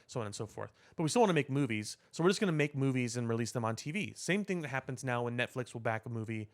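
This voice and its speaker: A man co-hosting a podcast, talking casually about pop culture in halting, deliberate, explanatory phrases. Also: mid-range voice, expressive. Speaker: podcast co-host.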